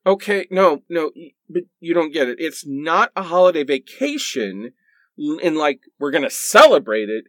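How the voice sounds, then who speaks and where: Bickering boyfriend, male actor, pleading and sarcastic, lively pitch swings, dry close sound. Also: normal speed, dry recording. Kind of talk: comedic radio play acting.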